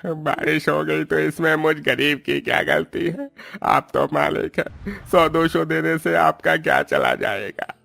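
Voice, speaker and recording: A man's voice: on the high side, animated, measured pace, gravelly, a bit bright, no echo, soft background music, pitched above the performer's own voice, full character voice. A man voicing a poor, honest labourer in a cartoon story, plaintive and earnest. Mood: pleading. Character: poor, honest, pleading male painter labourer.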